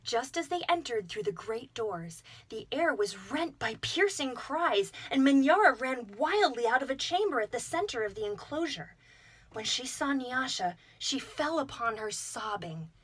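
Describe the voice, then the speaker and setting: Young woman storyteller, warm read-aloud manner for children, pitched a touch high. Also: measured pace, slightly rough, no room sound. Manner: gentle, expressive storytelling. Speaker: storyteller, voice actor.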